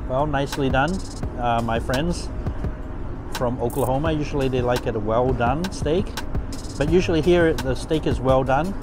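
Chatty man touring a fast food menu. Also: mid-range voice, music in the background. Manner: chatty, enthusiastic, filler-heavy. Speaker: food vlogger. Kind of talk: casual food vlog narration.

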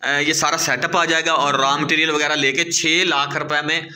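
A man's voice: on the high side, little pitch movement, moderate pace, bright, forceful tone. A man with a Pakistani accent interviews informally, asking questions and summing up for viewers. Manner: inquisitive, summarizing for viewers.

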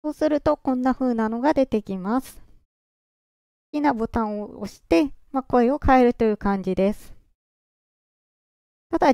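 A presenter walking through software setup step by step in a calm, explaining way, their voice run through a pitch-raising voice changer. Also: gravelly, smooth, no room sound, studio quality. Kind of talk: screen-recorded software walkthrough.

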